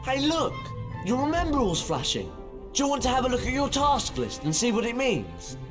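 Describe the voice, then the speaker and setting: High-pitched, eager British schoolboy wizard. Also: male voice, wildly swooping pitch, normal speed, heavily acted. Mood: helpful. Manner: boyish, eager, chatty. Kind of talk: video game cutscene acting. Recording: echoey reverberant hall, loud game music. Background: loud music.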